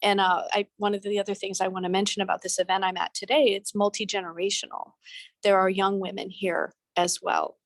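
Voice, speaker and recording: A woman's voice: mid-range voice, flat delivery, fairly quick, no room sound. A middle-aged woman talking earnestly on a video call from a busy event venue, with some restarts. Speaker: panelist on a talk show. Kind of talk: casual video-call talk.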